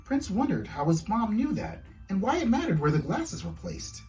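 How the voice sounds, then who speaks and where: A man's voice: high-pitched, very animated, normal speed, darkish tone, distant mic, soft background music, low-quality recording. A man, an author, reading his children's storybook aloud to young listeners.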